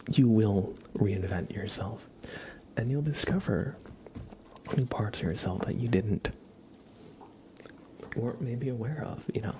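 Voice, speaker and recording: A young adult man speaking softly and gently as an ASMR livestreamer, half whispering as he chats casually to viewers. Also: fairly low pitch, a little hiss or hum, poor audio. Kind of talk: soft-spoken ASMR, casual chat with viewers.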